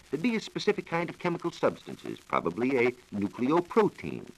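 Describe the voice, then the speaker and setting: An American man giving a scripted science talk on 1940s radio, explaining in a measured, formal manner. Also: on the low side, poor audio. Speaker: science reporter explaining research. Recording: old 1940s radio broadcast recording.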